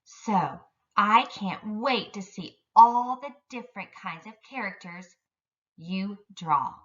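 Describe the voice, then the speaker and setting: A woman's voice: mid-range voice, animated, slow, a bit bright, recorded from a distance, lo-fi sound. A woman elementary school teacher giving an instructional lesson to young children in careful, simple phrasing.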